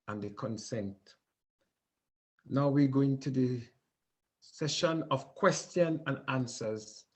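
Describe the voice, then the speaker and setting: A man steers a panel session as its moderator, formal and unhurried. His voice sits at an ordinary middle pitch and he takes his time over each question. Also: a little mellow. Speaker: host and moderator.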